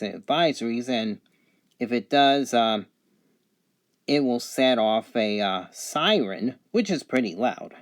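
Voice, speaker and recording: A man giving an unscripted product review, going item by item in a matter-of-fact, halting way. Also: medium pitch.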